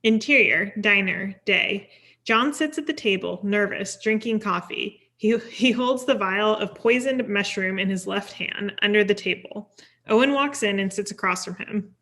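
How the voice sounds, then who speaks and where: A woman's voice: mid-range voice, moderate pace, sharp tone. A woman reading scripted screenplay stage directions at a table read.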